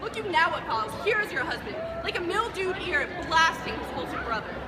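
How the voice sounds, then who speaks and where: A young woman performing an accusing, scornful prince in a forceful Shakespearean stage monologue. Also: very high-pitched, very animated, brisk, a loud crowd. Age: teenager. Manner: declamatory, forceful. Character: accusing prince scorning his mother's new husband. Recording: very noisy echoey crowded courtyard.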